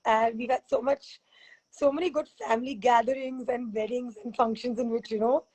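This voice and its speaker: A woman hosting a casual interview over a video call, chatty and rambling, her voice husky from teaching back-to-back classes. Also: a little high, steady tone, normal speed, a little mellow, poor audio. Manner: chatty, rambling, laughs often. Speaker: fitness expert and host.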